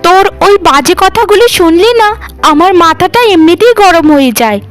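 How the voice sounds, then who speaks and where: Cartoon villain: spiteful sister-in-law voiced by a woman, extremely high pitch, sneering, bossy scold. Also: lively intonation, moderate pace, soft background music. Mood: angry, mocking. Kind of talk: Bengali family cartoon dialogue.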